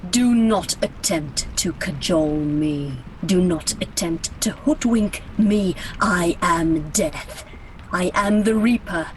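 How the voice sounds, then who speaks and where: Slow, solemn Grim Reaper played by a woman. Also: fairly low pitch, slight background noise. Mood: imperious. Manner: formal, grandiose, deliberate. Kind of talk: radio play acting.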